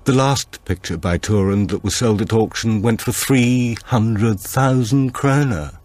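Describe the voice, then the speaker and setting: A man's voice: on the low side, measured pace, darkish tone, dry recording, voice pushed up. A man reading an audiobook, voicing a brusque, difficult older woman who talks in a curt, dismissive way. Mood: matter-of-fact.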